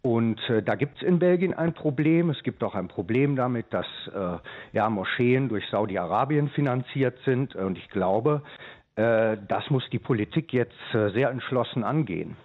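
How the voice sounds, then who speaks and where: A man giving a live news interview as an official and eyewitness, speaking haltingly with frequent pauses. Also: mid-range voice, lively intonation. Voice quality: hesitant delivery, frequent pauses. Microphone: telephone. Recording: tinny narrow-band telephone line.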